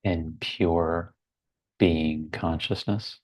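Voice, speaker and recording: A man leading a guided meditation in a measured, deliberate way, leaving long pauses between short phrases. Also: low-pitched, steady tone, darkish tone.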